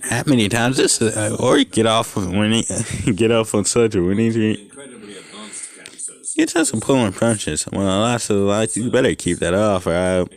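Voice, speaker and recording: A young man casually reading a fan story aloud, stumbling over lines and stopping to joke and swear. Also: on the low side. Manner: reads unevenly, breaks off to joke and swear. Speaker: fanfic reader. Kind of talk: casual fanfic reading with commentary.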